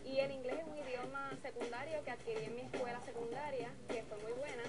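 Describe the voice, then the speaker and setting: A young Puerto Rican woman in her twenties, answering fan questions she reads from a laptop, in a bright and friendly way. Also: high-pitched, steady tone, fast. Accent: Puerto Rican Spanish. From Puerto Rico. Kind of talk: casual Q&A reading questions from a laptop. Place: living room set, on a couch.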